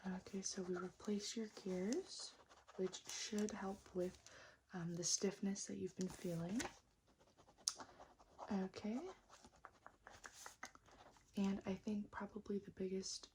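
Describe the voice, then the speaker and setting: A woman doing an ASMR roleplay as a repair technician, whispering and speaking softly in a gentle, reassuring, unhurried way. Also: on the low side, gravelly, noisy background. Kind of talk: ASMR roleplay, mostly whispered and soft-spoken.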